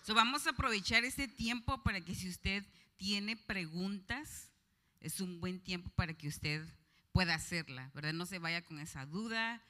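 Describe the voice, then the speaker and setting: A woman's voice: on the low side, a little slow, pushed and bright. A woman informally moderating a question session for an audience, chatty and encouraging. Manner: chatty, encouraging, uses diminutives. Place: large hall at a conference. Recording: reverberant hall sound.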